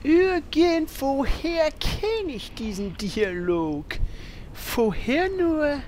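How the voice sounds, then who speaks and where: Woman as a very slow, girlish adventurer. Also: high-pitched, sing-song, darkish tone, a little hiss or hum, exaggerated voice. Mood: puzzled. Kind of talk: comedic fantasy radio-play acting.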